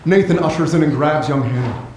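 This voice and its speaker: A man's voice: fairly high pitch, brisk, a little mellow. A man reading stage directions as scripted narration at a staged theatre reading. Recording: echoey theatre with faint noise.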